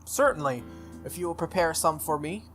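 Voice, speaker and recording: A man's voice: on the high side, animated, normal speed, a bit bright. Man voicing a formal, composed young swordswoman. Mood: composed, polite. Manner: formal, matter-of-fact. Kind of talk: visual novel character reading. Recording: music playing behind the voice.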